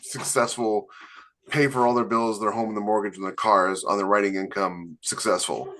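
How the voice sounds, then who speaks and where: A man's voice: on the low side, fairly even intonation, normal speed, a crowd in the background. Blunt, emphatic male podcast co-host. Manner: blunt, emphatic, mock-sarcastic. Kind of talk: casual panel chat.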